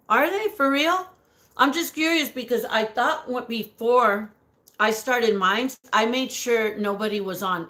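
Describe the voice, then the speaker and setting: A woman with a raspy voice chatting casually on a live stream, greeting viewers by name, giggling often and slipping Spanish words into her talk. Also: on the high side, pushed and bright. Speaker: livestream host reading viewer comments.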